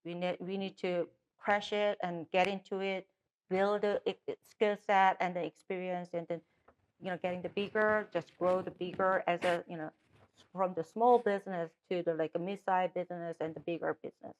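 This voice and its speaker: A woman's voice: lowish voice, little pitch movement, moderate pace, poor audio. A middle-aged woman with a Korean accent, a native Korean speaker, answering interview questions as a political candidate with frequent restarts and fillers.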